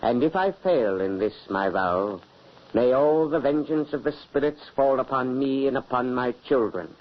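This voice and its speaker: A man's voice: mid-range voice, close to an everyday voice. A man doing a cruel mocking spirit voice. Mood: solemn. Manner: slow solemn oath, then cackling taunts. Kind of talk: 1940s radio acting. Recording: dull old radio recording.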